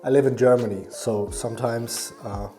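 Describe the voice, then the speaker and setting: A man with a Danish accent giving a casual hands-on tutorial, haltingly thinking aloud as he works. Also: mid-range voice, steady tone, over music. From Denmark. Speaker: fly tying instructor and YouTuber.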